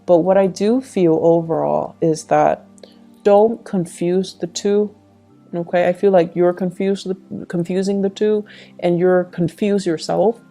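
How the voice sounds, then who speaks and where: A woman's voice: on the low side, fairly even intonation, a little slow, dark tone, soft background music. A woman reading tarot, speaking directly to the listener in a reflective, advising way. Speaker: tarot reader and channel host.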